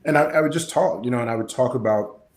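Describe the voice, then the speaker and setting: A man's voice: mid-range voice, fast talker, a little mellow, slight background noise. American man in a roomy, echoing space. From Kansas City, Missouri, United States. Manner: straightforward, explanatory. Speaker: guest, personal development coach. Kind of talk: conversational interview answers.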